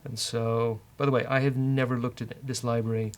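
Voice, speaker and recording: A man with an Irish accent hosting a casual live-coding demo, dry, teasing and halting. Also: medium pitch, flat delivery. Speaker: host, software developer.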